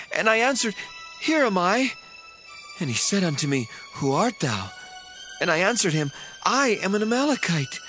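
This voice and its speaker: A man's voice: fairly high pitch, sharp tone, rough recording. An actor playing a young male Amalekite messenger who fled a battle, reporting in a breathless, pleading way in a dramatized scripture reading. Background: faint background music.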